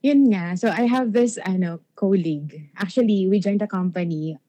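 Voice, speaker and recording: Mellow young Filipino woman, casual caller. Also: mid-range voice, normal speed, no echo. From Philippines. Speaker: caller to a podcast. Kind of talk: casual Taglish chat.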